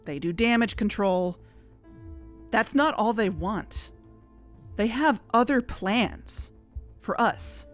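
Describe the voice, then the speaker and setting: A woman in scripted audio drama dialogue, earnest and worried, sometimes rushing her words. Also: mid-range voice, lively intonation. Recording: music bed underneath.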